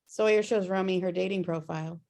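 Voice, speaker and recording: A woman's voice: fairly low pitch, steady tone, brisk, darkish tone. A woman reading scripted stage directions as narrator of a table read over a video call.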